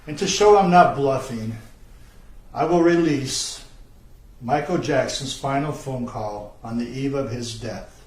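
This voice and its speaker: A man reads out a formal statement in a mid-range voice. His delivery is solemn and measured, with slow, deliberate pacing. The room around him echoes clearly. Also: mid-range voice, a little mellow. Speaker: self-described whistleblower. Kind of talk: scripted video statement.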